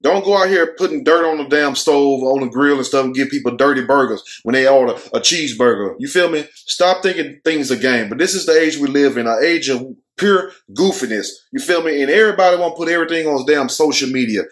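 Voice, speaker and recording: A man's voice: mid-range voice, a little fast, hardly any pauses, fairly bright tone. An American man in his thirties giving casual, opinionated commentary as a show host, blunt, slangy and joking, with plenty of swearing. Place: furnished room at home.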